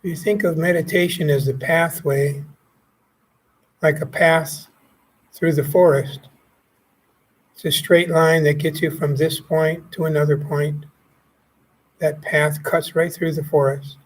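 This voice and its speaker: A man leading a guided meditation as a teacher, speaking calmly and gently in short phrases with pauses. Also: on the high side, a little hiss or hum, poor audio. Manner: calm, gently instructive, pausing between short phrases.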